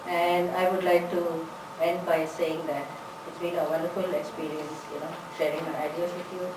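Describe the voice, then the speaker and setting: Woman speaker, lowish voice, chatty, echoey conference hall, distant mic, noisy. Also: level tone, moderate pace. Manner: warm, chatty, fillers like "you know". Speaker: conference presenter, English teacher. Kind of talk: conference talk and Q&A. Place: large conference room.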